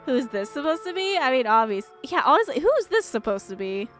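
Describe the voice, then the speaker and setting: A woman playing through a game, chatting casually and reacting in an animated way. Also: high voice, a little fast, faint music behind. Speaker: streamer playing a visual novel. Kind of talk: casual game playthrough with live reactions and read-aloud text.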